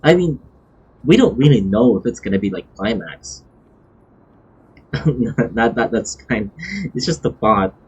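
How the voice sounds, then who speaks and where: A man giving casual live-stream game commentary, thinking aloud in a halting, rambling way. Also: on the low side, expressive, darkish tone, breathy edge. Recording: dull, lo-fi stream microphone.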